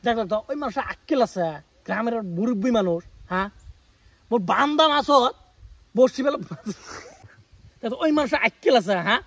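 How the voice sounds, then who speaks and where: Man playing a shrill, exasperated village pond owner. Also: a little fast, a bit bright. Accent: northern Bengali regional. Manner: scolding, aggrieved, repetitive. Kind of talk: amateur comedy skit acting. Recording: clearly noisy amateur video sound.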